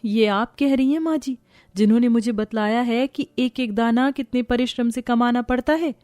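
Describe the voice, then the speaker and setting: A woman's voice: a little high, fairly even intonation, moderate pace, darkish tone, no echo, clean studio sound, soft background music, pitched above the performer's own voice, natural voice. A woman voicing a meek, plaintive young daughter-in-law from a poor household.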